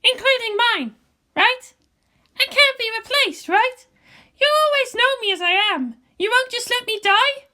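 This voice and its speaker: A woman's voice: extremely high pitch, animated, unhurried, sharp tone, pitched above the performer's own voice, full character voice. A woman voicing a proud, brash but vulnerable teenage girl in a fan fiction reading. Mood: vulnerable, pleading.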